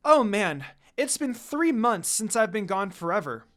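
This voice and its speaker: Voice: mid-range voice, very animated, a little slow, fairly bright tone, pitched above the performer's own voice. A reader voicing a sad, defiant young woman from a fan story in an emotional, dramatic way. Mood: weary muttering.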